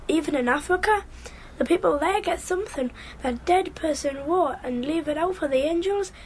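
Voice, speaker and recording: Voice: sits high, normal speed, a little hiss or hum, natural voice, rough recording. A performer voicing an earnest, dreamy young boy with a Northern English accent in a radio drama, innocent and insistent. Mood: hopeful. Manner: innocent, insistent, matter-of-fact. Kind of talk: 1990s radio drama acting.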